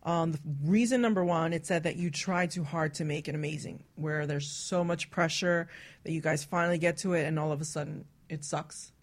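A woman's voice: low-pitched, steady tone, brisk. A woman co-hosting a radio talk show, speaking frankly and casually in a group chat. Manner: frank, explicit.

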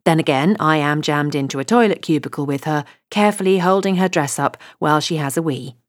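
A woman reading a light comic novel in a wry, conversational first-person voice. Her voice sits a little low, and the recording is close and dry, with no room. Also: a little fast, no room sound. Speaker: audiobook narrator.

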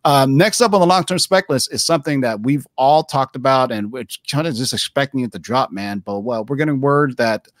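40s guy hosting a chill podcast chat, rambly and animated, says man and dude a lot, dry close sound. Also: medium pitch, fairly quick, hardly any pauses, no echo. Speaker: podcast host. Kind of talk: casual chat.

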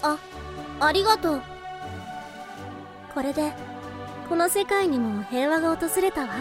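A woman's voice: high voice, lively intonation, measured pace. A woman playing a young tsundere sorceress in an anime-style radio drama. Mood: grateful, shy. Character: young tsundere sorceress girl of fantasy world. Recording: music behind the voice.

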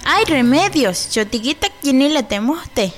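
An alarmed patient in a radio drama. She speaks slowly in a high, very animated voice, over faint music. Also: exaggerated voice.

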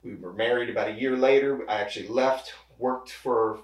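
A man in his thirties, a pharmacist, talking casually as an interview guest, relaxed and rambling with many restarts. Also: mid-range voice, moderate pace. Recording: roomy echo, distant mic.

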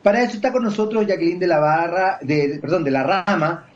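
A Chilean man hosting a radio talk show, giving commentary and interviewing a guest. He pauses often with drawn-out fillers. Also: high voice, expressive. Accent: Chilean Spanish. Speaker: radio host. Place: radio studio. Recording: dull lo-fi radio broadcast.

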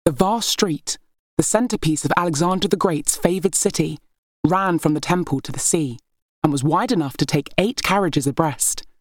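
A woman narrates a historical novel in a low-pitched voice. Her delivery is scripted, expressive storytelling, and the recording is very dry with no room sound. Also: normal speed, dry recording. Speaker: audiobook narrator.

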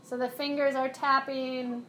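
A woman instructor guiding a live chair yoga and mindfulness class, cueing movements and breaths in a calm, unhurried way. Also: high voice, slight background noise. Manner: slow, soothing cueing with breath prompts.

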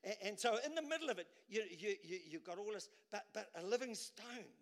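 A male pastor preaching a sermon to a church, animated, swinging between loud bursts and low asides and repeating words as he pushes a point. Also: fairly quick.

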